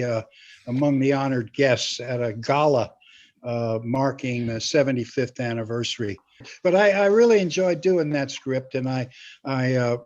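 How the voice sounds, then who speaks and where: An older man chatting casually as an interview guest, speaking haltingly with small chuckles. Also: medium pitch. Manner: halting, chuckles while talking. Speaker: guest, comedy writer and teacher. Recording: slightly dull lo-fi sound.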